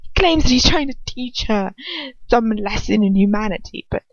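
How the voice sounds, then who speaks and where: A woman's voice: medium pitch, animated, normal speed, no room sound, muffled recording. A woman voicing a suspicious, rebellious male henchman who mutters urgently and insistently in an audiobook reading.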